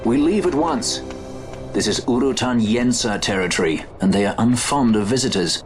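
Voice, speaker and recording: An actor playing a sky pirate who talks in a brisk, dry way in a game cutscene. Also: male voice, mid-range voice, animated, soft background music, lightly acted. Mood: wry. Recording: game cutscene with loud score.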